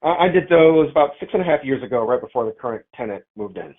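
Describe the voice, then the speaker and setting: A man talks casually over a narrow telephone connection. His voice sits at an ordinary male pitch, and he sounds easygoing and a little hesitant, working out his answers as he speaks. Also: lively intonation, fast talker. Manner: hesitant, thinking aloud, easygoing. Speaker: property owner, caller. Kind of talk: casual phone chat. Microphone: phone call. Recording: narrow, tinny phone line.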